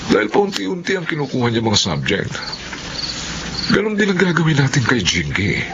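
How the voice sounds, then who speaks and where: Animated, determined Filipino farmer father. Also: a little high, normal speed, background noise. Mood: concerned, adamant. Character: hard-up, stubbornly determined middle-aged farmer father. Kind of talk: Filipino radio drama acting and narration. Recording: dull radio audio, loud music behind.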